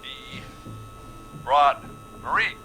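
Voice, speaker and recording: Slow, defensive hostage man. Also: medium pitch, expressive, sharp tone, faint music behind, exaggerated voice. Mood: reluctant, halting. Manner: halting, defensive, evasive. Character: trapped, defensive, self-justifying man held by a killer. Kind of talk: scripted game voice acting.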